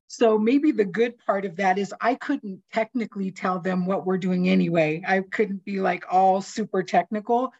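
A woman's voice: mid-range voice, lo-fi sound. A woman from a home retrofit program talking in a chatty, informal way on a panel. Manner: chatty, informal, many fillers. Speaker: seismic retrofit program staff. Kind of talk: panel discussion.

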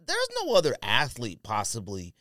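Bright-voiced man in animated sports chat. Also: mid-range voice, normal speed, dry recording, studio quality. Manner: emphatic, slangy, repeats himself for emphasis. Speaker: podcast co-host. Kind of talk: casual sports talk.

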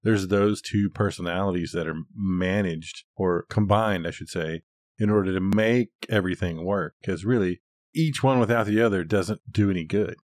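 A man's voice: low voice, moderate pace, darkish tone, no room sound, studio quality. A man co-hosting a podcast, talking in a casual, reflective and thoughtful manner. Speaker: podcast co-host.

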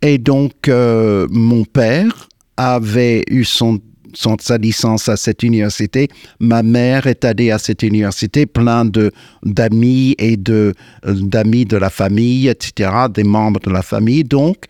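An older American man, a singer and guest, answering in French in a slow, hesitant way with many fillers and restarts. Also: fairly low pitch, fairly even intonation, darkish tone, no echo, clean studio sound. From United States. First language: English. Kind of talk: radio interview. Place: radio studio.

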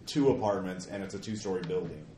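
A man running a tabletop game as game master, talking haltingly with many fillers and restarts. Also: low-pitched, warm tone. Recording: echoey room, faint noise.

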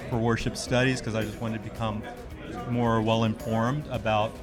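A man's voice: on the low side, flat delivery, normal speed, music in the background. A man who leads worship, talking eagerly and upbeat about his work. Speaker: worship leader.

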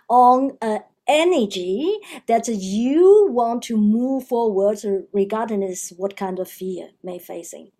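A woman with a Chinese accent, a non-native English speaker, answering interview questions haltingly with many fillers and restarts. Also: medium pitch, warm tone. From China. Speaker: guest.

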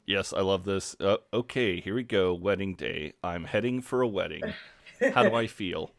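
A man's voice: deep voice, normal speed, gravelly, no room sound, studio quality. A man co-hosting a podcast in casual chat, swearing freely and laughing at himself, congested. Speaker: podcast co-host.

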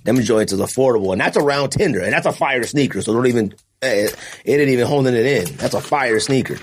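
A man's voice: medium pitch, fast talker, slight background noise. A man hosting a casual podcast, joking and laughing often as he banters with friends, with slangy talk. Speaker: podcast host.

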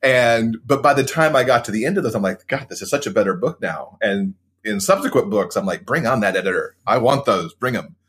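A man's voice: on the low side, lively intonation, brisk, hardly any pauses, a bit bright, breathy edge. A man talking as a guest author in a casual interview, telling stories and acting out his own past reactions.

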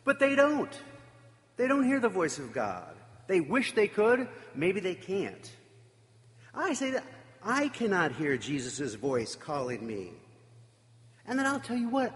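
Brief: male preacher, raised pitch, very lively intonation, echoey church reverb. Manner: reflective, emphatic with dramatic pauses. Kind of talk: sermon.

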